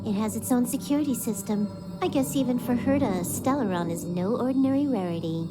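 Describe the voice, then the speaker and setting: A woman's voice: on the high side, brisk, lightly acted. A woman voice-acting a smug young hacker in a video game, matter-of-fact, nonchalant and sure of herself. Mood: confident. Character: smug young female hacker, brisk, cool and self-assured. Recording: music clearly behind the voice. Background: game soundtrack music.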